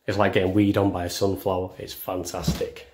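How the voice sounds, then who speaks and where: A man's voice: low voice, little pitch movement, a little fast, noisy background. A young man giving a casual house tour, chatty and self-deprecating, as he films on a handheld phone. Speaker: vlogger. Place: indoors, walking through rooms of a house. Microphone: handheld phone camera.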